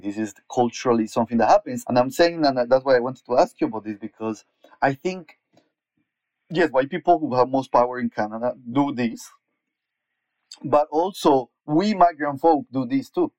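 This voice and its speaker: A man's voice: medium pitch, moderate pace, a little mellow, no echo, low-quality recording. Earnest man from Colombia, dry sound. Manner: earnest, searching, with restarts. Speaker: activist and educator, guest. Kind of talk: conversational interview.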